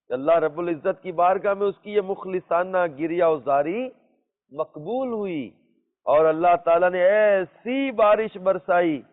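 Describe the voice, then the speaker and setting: Male religious teacher, high voice, emphatic storytelling with repeated phrases, heard in a long hall reverb. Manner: emphatic, repeats phrases, poses rhetorical questions. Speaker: religious teacher telling moral stories. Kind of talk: religious storytelling lesson to listeners. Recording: muffled lo-fi audio in reverberant hall.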